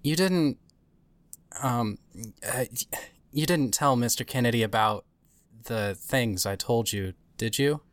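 A woman playing an anxious female adventurer with a halting, hesitant delivery. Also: a bass voice, no room sound.

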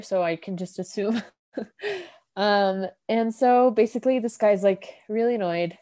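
A woman's voice: fairly low pitch, darkish tone. A woman podcast host casually recapping a TV episode, rambling with lots of "like" fillers. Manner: rambling, heavy use of "like", laughs often.

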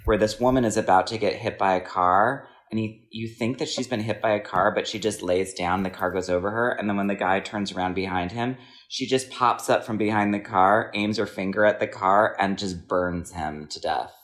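A man's voice: fairly low pitch, normal speed, hardly any pauses, a bit bright. A middle-aged man hosting a casual chat, telling comic stories in a playful, theatrical way and acting out what people say. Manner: playful, theatrical, acts out quotes. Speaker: podcast host.

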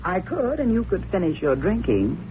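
An actress playing a cool, guarded newspaper assistant, brisk and sarcastic, in 1940s radio drama style. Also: female voice, fairly low pitch, big pitch swings. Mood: defensive, sarcastic. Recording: muffled, hissy old radio recording.

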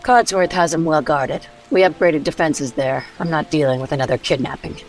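A woman's voice: low-pitched, normal speed, soft background music. An actress playing a tough, decisive wasteland leader and mother with a firm, wry manner in a radio drama. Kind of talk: old-time radio drama acting.

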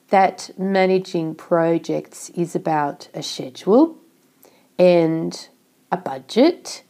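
A woman host sounding nasal from a cold, with a low, mellow, gravelly voice. She explains things slowly and steadily in a measured way. Her delivery is unhurried throughout. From Australia. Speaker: podcast host. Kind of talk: solo podcast talk.

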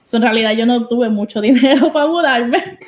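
Puerto Rican woman, chatty, high voice. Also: a little fast, a little mellow. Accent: Puerto Rican Spanish. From Puerto Rico. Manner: chatty, mixes in English words like "so". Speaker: guest streamer. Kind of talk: casual chat on a podcast.

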